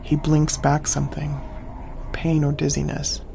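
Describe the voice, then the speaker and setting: An actor playing a wary, stern great-cat hunter, narrating in the first person in grave, clipped, deliberate phrases for an audio drama. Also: male voice, a little high, monotone, gravelly, mellow, slight background noise. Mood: grave, brooding. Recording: music under the voice. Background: dramatic music.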